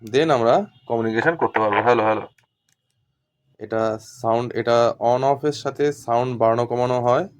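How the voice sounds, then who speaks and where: A man's voice: mid-range voice, fairly even intonation, a little fast, pauses often, clear, resonant tone. A man giving an informal hands-on tutorial as an instructor, explaining step by step and repeating himself. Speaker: instructor demonstrating walkie-talkies.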